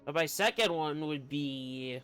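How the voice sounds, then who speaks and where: A man chatting casually on a game livestream, rambling and halting as he talks. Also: fairly high pitch, fairly bright tone, clean tone. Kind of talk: casual chat while streaming a game. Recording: game music behind the voice. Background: video game music.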